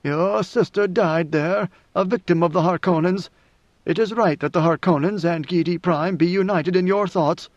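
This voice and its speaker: A man voicing an ancient, brooding male God Emperor who speaks in a slow, measured way, with weary authority. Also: high voice, no echo, voice pushed up, close to an everyday voice. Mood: stern. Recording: dry, slightly muffled recording.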